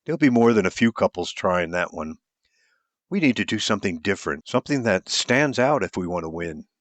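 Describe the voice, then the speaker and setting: A man's voice: low-pitched, animated, a little fast, fairly bright tone, dry recording. Man voicing an upbeat, teasing woman. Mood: insistent. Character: upbeat, teasing woman planning a karaoke duet.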